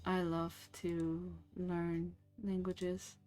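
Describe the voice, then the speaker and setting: A woman's voice: fairly low pitch, completely flat delivery, dark tone, clear, resonant tone, soft background music. A young woman whose first language is French, chatting casually and rambling with fillers while she streams a game. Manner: slow, rambling, many fillers and restarts.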